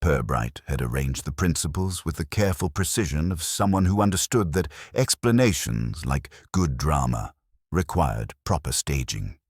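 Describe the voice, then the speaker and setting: Male narrator for mystery fiction: very low register, animated intonation, dry close sound. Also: normal speed, husky, dry recording. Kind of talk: scripted mystery story narration.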